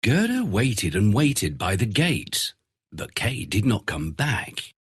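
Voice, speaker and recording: A man narrating a fairy tale from a script in an expressive storytelling manner. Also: on the low side, takes it slowly, slightly rough.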